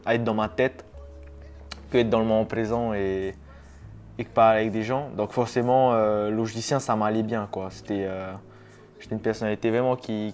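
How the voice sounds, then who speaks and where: A man in his twenties chatting casually to his viewers in a vlog, relaxed and rambling with frequent hesitations. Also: fairly low pitch. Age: 20s. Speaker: YouTuber. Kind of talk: casual vlog talk to camera.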